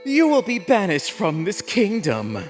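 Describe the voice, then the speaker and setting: A man's voice: high-pitched, huge pitch swings, a little slow, soft background music, exaggerated voice. A man playing a haughty, scornful young crown prince in an anime dub, pompous and theatrical. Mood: gloating.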